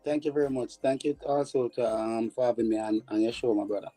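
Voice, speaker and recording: A Jamaican man with a very dark, mellow voice answers interview questions, stumbling over repeated words as he recalls. Faint music runs underneath. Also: medium pitch, level tone, fast talker, low-quality recording. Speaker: former footballer guest.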